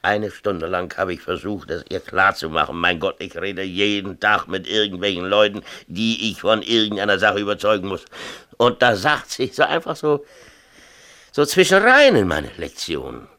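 Deep-voiced, animated, aggrieved middle-aged father. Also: moderate pace, full character voice. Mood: agitated, confiding. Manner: emphatic, agitated confessional ranting, seeking agreement. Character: tipsy, aggrieved small-town mayor and strict, troubled father. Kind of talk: 1970s East German radio drama acting.

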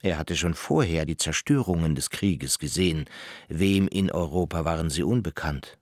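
A man's voice: sits low, lively intonation, normal speed, a little mellow, no room sound, clean studio sound. A man narrating a literary radio drama from a script.

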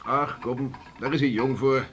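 Male actor as island farmer father, radio drama, bluff, gruff, hearty, teasing, colloquial. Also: medium pitch, steady tone, a little slow, music in the background, close to an everyday voice. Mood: bluff, easygoing. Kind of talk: Dutch radio drama acting.

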